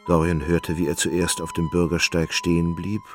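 A man's voice: sits low, level tone, normal speed. A man narrating a literary radio drama as a scripted storyteller. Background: music.

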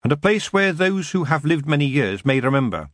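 A man's voice: fairly high pitch, sing-song, brisk, fairly bright tone, no echo, pitched above the performer's own voice. Brief: young witch girl, raised and firm, serious and commanding, as a male narrator puts her on, dry sound. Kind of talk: audiobook character voice.

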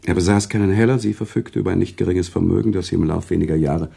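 An actor playing a worldly, sentimental male storyteller in a radio drama, teasing and emotional, with dramatic pauses and expressive swells. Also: exaggerated voice. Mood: moved, nostalgic. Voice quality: husky, gravelly low voice. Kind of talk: German radio drama acting, conversational storytelling.

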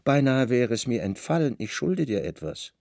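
A man playing a brooding, commanding Roman governor in a radio play, speaking with measured authority and pointed emphasis. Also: medium pitch, very animated, gravelly, a little mellow, no room sound, clean studio sound. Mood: wry, insistent. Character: brooding Roman governor, commanding, wry, insistent on his hunches.

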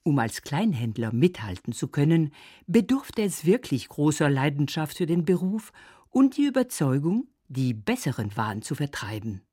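A woman narrating a scripted radio feature in an expressive, storytelling manner. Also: sits low, moderate pace, breathy edge, no room sound, clean studio sound.

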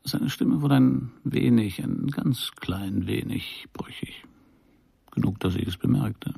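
An actor playing a world-weary private detective, narrating a noir radio drama in a dry, laconic way. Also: male voice, medium pitch, takes it slowly, gravelly, dark tone, breathy edge, no room sound, whispered, exaggerated voice. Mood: dry, observant.

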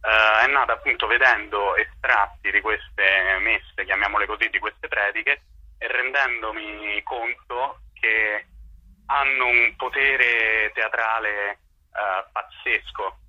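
Very sharp, lowish male voice on dull phone. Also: steady tone, takes it slowly, no room sound. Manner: hesitant, searching for words. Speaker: playwright, interview guest. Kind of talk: spontaneous interview answers. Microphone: telephone.

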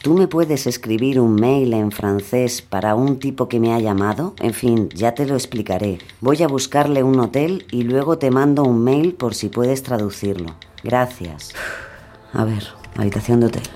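An actress from an audio fiction, with an accent from Spain, playing a flustered, exasperated but kind-hearted woman, speaking colloquially with halting foreign phrases and muttered asides. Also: extremely low pitch, dark tone. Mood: casual, focused.

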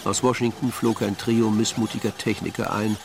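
A man narrating a radio drama as a dry, composed storyteller, in a measured, literary manner. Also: fairly low pitch, flat delivery, gravelly, background noise.

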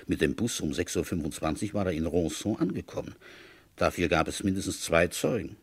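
Deep, husky, musing police commissioner. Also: male voice. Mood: musing, confiding. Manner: thinking aloud, conversational, halting to ponder. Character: methodical, musing male village police commissioner, dryly patient. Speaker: narrator and lead detective in a radio play. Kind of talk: German radio drama, intimate first-person narration.

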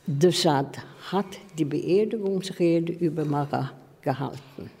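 Older swedish-accented lady telling memories, really low mellow voice, echoey hall. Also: takes it slowly, husky. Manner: non-native grammar, emphatic storytelling. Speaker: interviewee, former stage designer. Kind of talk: personal reminiscence in an interview.

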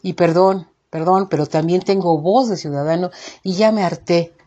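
Husky, indignant Mexican woman host. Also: lowish voice, moderate pace, mellow, muffled recording. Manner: indignant, emphatic, rhetorical questions. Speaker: show host. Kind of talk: informal opinion monologue to listeners.

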